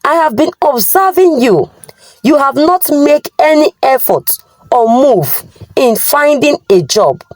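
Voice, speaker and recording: A woman's voice: high voice, a little mellow, no echo, rough recording. A Nigerian-accented woman voicing a stern, responsible elder brother who questions and admonishes in a folktale.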